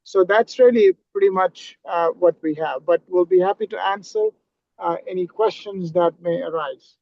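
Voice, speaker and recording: A man speaks in a measured, formal manner over a video call, laying out requirements with the odd filler. His voice sits high for a man and has a gravelly fry. The audio is dry and dull, with a muffled lo-fi quality. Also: a little mellow, no room sound. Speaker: chaplaincy endorsement official. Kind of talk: explaining a process on a panel.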